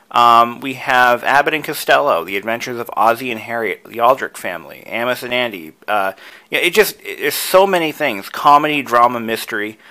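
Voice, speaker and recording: A man's voice: mid-range voice, pushed and bright, rough recording. A man presenting a hobby tutorial, casually talking through each step with enthusiasm.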